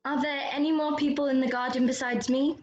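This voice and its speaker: A woman's voice: high voice, level tone, fairly quick, a little mellow, close to an everyday voice. A woman playing a curious, polite but easily exasperated little girl in a children's read-aloud play. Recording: roomy, slightly dull sound.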